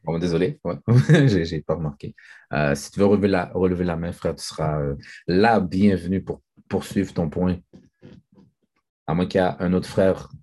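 A man moderating a group discussion, relaxed and mixing in English phrases. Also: low-pitched, moderate pace, husky.

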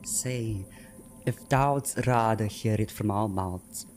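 A man's voice: on the low side, takes it slowly, a little mellow. A man voicing a sinister, commanding witch in a dramatic Shakespeare reading, with incantatory delivery. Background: ominous music.

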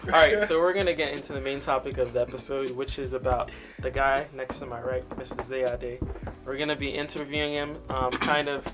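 Brief: male podcast host, easygoing, laughing often, mid pitch, music under the voice. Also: fairly even intonation, a little fast. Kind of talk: casual podcast interview.

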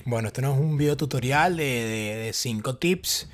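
A man's voice: medium pitch, moderate pace. A young man in his twenties with a Venezuelan accent, telling his personal story to the camera in a casual, talkative way with many fillers and restarts. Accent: Venezuelan Spanish. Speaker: vlogger.

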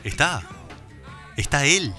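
Bright, laughing male radio host. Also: fairly high pitch, sing-song, slow, faint music behind. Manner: laughs often, formal "usted" teasing. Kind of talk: comedy radio chat.

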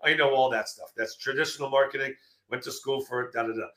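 A man's voice: medium pitch, quick pace, echoey room. A man in his late fifties, a marketing speaker losing his voice, telling stories energetically in a casual interview. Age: 50s. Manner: energetic storytelling with fillers. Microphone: video call.

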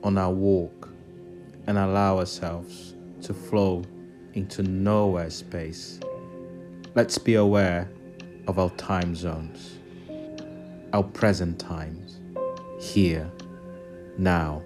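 A man from Brazil, a dance artist, narrating a calm guided walk with soft music behind. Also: deep voice, very slow, pauses often.

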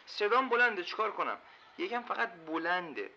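An actor playing an angry, loud-voiced man who insists he was brought somewhere by mistake, blunt and complaining. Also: high-pitched, sing-song, vocal fry, dull audio, a little hiss or hum, heavily acted. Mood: defensive.

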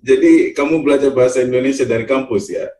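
A man's voice: mid-range voice, quick pace, mellow, a little hiss or hum. A man hosting a casual podcast interview, giving short encouraging reactions and sometimes switching into English. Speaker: podcast host. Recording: voice distant from the microphone.

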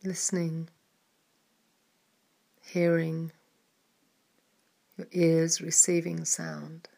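Slow, soft-spoken woman guiding meditation. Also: low-pitched, gravelly. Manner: soft and calm, some words trailing into a whisper. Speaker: meditation guide. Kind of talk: guided meditation.

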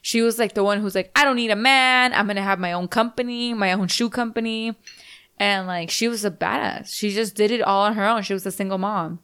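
A woman co-hosting a podcast in casual chat, blunt and quick to swear. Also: medium pitch, a bit bright, clear, resonant tone. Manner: blunt, swears freely, rambling. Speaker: podcast co-host.

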